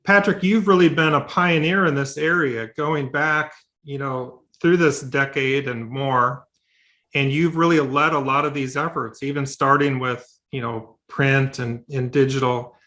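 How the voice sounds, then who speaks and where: A man's voice: medium pitch, steady tone, unhurried, sharp tone. A man moderating a panel, asking questions in a friendly, easy way.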